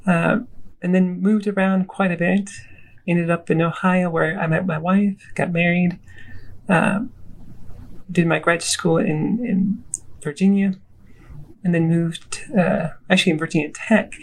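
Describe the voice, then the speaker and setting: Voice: mid-range voice, steady tone, a little slow, rough recording. An interview guest originally from Argentina who grew up in the US, casually telling a personal story. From Argentina, raised in the US from age eight. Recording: clearly audible background noise.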